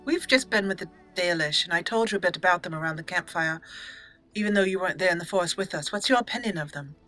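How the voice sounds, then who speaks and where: A woman's voice: lowish voice, expressive, fast, pushed and bright, faint music behind. A woman hosting a roleplay let's play, chatting and reading game dialogue aloud into a close microphone. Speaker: gaming channel host.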